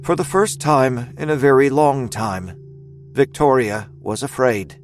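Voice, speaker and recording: A man narrating a scripted dramatic story as its storyteller, in a measured, suspenseful manner. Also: mid-range voice, faint music behind, close to an everyday voice.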